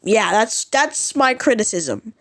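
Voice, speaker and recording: Higher-pitched woman, casual self-deprecating vlogger. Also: expressive, takes it slowly. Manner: informal, unsure, self-deprecating. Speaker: vlogger ranking subway announcements. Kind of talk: casual rambling vlog commentary.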